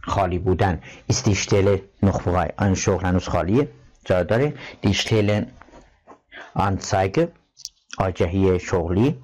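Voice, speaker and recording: A man teaching a German lesson, reading words and textbook passages aloud and quickly translating each one. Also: sits low, a little mellow. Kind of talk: language lesson, glossing words.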